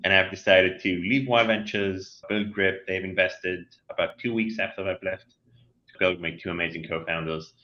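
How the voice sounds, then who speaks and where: Israeli-accented male guest, deep, forceful and explanatory. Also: fairly even intonation, normal speed. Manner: explanatory, with frequent restarts. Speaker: guest, company CEO. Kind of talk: interview answers. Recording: dull lo-fi sound.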